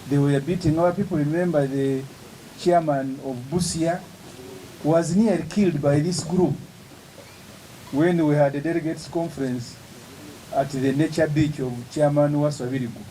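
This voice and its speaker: A man, an opposition politician giving an emphatic, measured press statement with pauses between phrases. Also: on the high side, fairly even intonation, warm tone, a little hiss or hum, rough recording.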